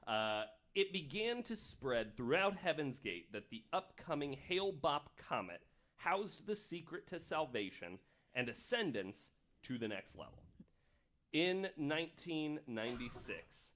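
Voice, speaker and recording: A man hosting a podcast, explaining researched material in a casual storytelling style, with pauses between read passages. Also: medium pitch, a bit bright. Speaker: podcast host.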